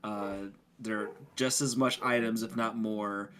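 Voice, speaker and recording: A man's voice: lowish voice, steady tone, unhurried, a bit bright. A man talking casually as a podcast co-host, explaining things in a rambling way. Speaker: podcast co-host reviewing video games.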